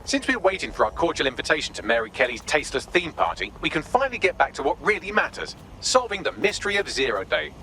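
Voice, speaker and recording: A man's voice: fairly high pitch, big pitch swings, fast talker, hardly any pauses, bright, forceful tone, slight background noise, heavily acted, low-quality recording. A man voicing a smug, sardonic British AI assistant in a video game, with a wry, wordy, theatrical manner. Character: cheeky sardonic male AI assistant, quippy and smug.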